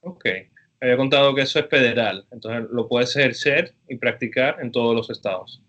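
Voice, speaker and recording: A man, a professor, interviewing a guest attorney for his students in an easygoing way, with frequent pauses and fillers. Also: medium pitch, a bit bright, muffled recording. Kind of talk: class interview over video.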